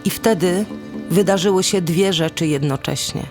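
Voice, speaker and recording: A woman storyteller narrating a folk tale dramatically, deliberately, with suspenseful pauses. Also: lowish voice, darkish tone. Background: music.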